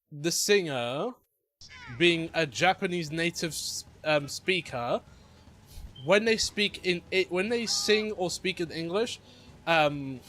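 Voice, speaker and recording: A man's voice: high voice, a bit bright. A young male gamer with a voice sitting a little above the usual for men talks through his play in a loose, meandering way. He is chatty and easily amused, often trailing off mid-thought before picking the thread back up. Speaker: gamer playing a video game. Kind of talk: casual gameplay commentary.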